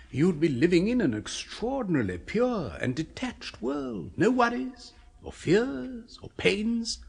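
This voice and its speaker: An actor playing an eager, persuasive scientist obsessed with a macabre experiment, clinically precise and coaxing, in a 1970s radio horror play. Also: male voice, high voice, vocal fry, breathy edge, heavily acted. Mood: coaxing, enraptured.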